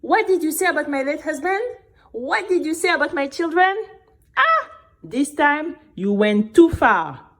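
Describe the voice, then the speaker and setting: A woman's voice: high-pitched, very animated, a little slow, a bit bright, voice pushed up, heavily acted. A woman playing an indignant widowed mother in an audio drama, scolding and outraged as she defends her family.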